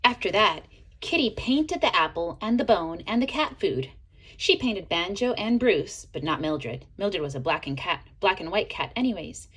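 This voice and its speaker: A woman reading a children's picture book aloud in an expressive way, as a teacher would to young listeners. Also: lowish voice, breathy edge, poor audio. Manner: expressive, pauses to ask listeners questions. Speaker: storybook reader, teacher.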